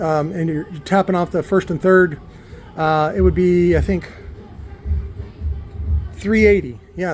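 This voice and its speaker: A man giving an informal technical explanation as an electronics builder, halting and often repeating words as he works through his point. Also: high voice, a little mellow, a little hiss or hum.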